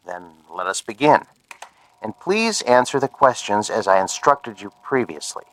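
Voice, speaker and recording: A man's voice: on the low side, very animated, moderate pace, fairly bright tone, low-quality recording. A man playing a polite, methodical scientist in a radio drama, formal and precise as he gives instructions.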